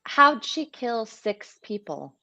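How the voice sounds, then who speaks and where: A woman's voice: on the high side, very animated, takes it slowly, pushed and bright, a little hiss or hum, exaggerated voice. A woman playing a skeptical, scoffing young female camper in a live horror script read. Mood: incredulous. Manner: incredulous, scoffing.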